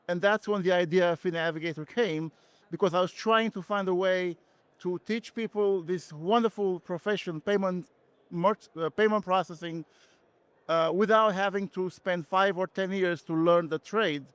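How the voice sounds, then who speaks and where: A middle-aged man with a Romanian accent, telling his own story earnestly and haltingly as an interview guest. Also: high voice, smooth, no room sound. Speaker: interview guest, company founder. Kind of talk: personal story in a podcast interview.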